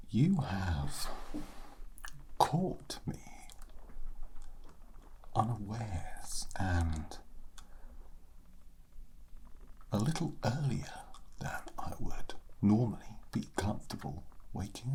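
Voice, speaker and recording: A man voicing a sassy, bookish undead skeleton in a soft, breathy ASMR roleplay, slowly and with condescending, sardonic pauses. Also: lowish voice, sing-song, slight background noise, exaggerated voice, low-quality recording. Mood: coy, wry. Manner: slow, deliberate, sardonic, with long dramatic pauses. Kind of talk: ASMR roleplay, soft-spoken character monologue.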